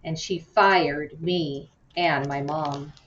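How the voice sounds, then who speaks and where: A woman's voice: low voice, steady tone, slow pace, noisy background. An indignant, wounded young girl from a children's novel, acted out by a woman reading aloud. She confides urgently and with grievance. The sound is dull and echoey, a little far from the microphone. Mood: hurt, indignant. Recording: muffled, roomy, distant from mic.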